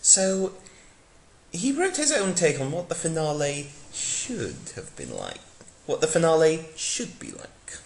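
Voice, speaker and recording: Guy doing a theatrical fanfic reading as narrator, lively pitch, echoey room. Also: a little slow, slight background noise. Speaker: narrator, dramatic reader. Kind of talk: mock-dramatic scripted fan fiction reading.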